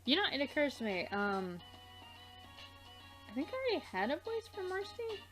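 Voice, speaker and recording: A woman's voice: high voice, big pitch swings, normal speed, a bit bright, faint music behind. A woman hosting a let's play, chatting casually in a rambling way that often loses its thread. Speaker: let's play host and amateur voice dubber. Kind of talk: casual rambling gameplay chat.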